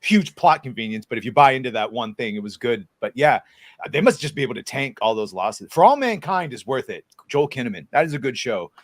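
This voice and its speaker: A man's voice: mid-range voice, lively intonation, a little fast, no echo. A man in casual conversation as a panelist, giving opinions informally. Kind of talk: casual chat.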